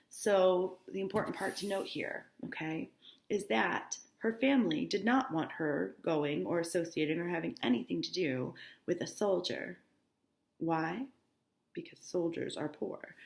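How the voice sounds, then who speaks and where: A woman high school teacher reading a novel aloud, breaking off for informal, instructive asides to explain things to students. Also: creaky, echoey room. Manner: informal, instructive asides with check-ins like "okay?".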